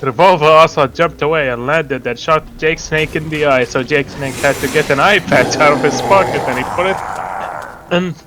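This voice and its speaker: A man reading a story aloud in a halting, deliberate way, with casual asides and an amused manner. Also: on the high side, noisy background. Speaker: reader of fan fiction.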